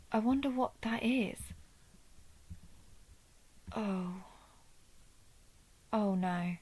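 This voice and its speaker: Woman acting a gravelly vampire huntress, deliberate storyteller. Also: mid-range voice. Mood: wry, curious, then dawning horror. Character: female vampire who hunts vampires, wry, urgent ally. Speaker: audio roleplay performer. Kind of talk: scripted audio roleplay, storytelling monologue.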